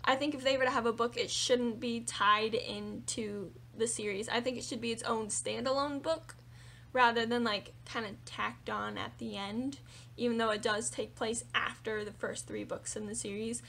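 Young woman rambling about books to her cam in her room, bit raspy, kinda high voice, lots of likes and ums. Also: moderate pace. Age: young adult. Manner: animated, chatty, lots of fillers and hand gestures. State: slightly raspy voice from singing. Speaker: book vlogger. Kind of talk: casual monthly reading wrap-up. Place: small room with bookshelves indoors.

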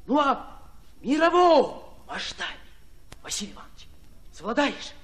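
An actor playing an eager, naive young soldier orderly, excitable and folksy, in a radio drama. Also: male voice, extremely high pitch, moderate pace, heavily acted. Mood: curious, awed.

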